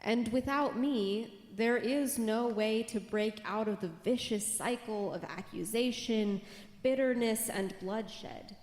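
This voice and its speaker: A woman acts out a self-assured, nurturing embodiment of peace in a church drama reading. She speaks slowly in a rather high voice, with the long echo of a big hall around her. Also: a little mellow. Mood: assured, earnest. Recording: echoey hall, long reverb.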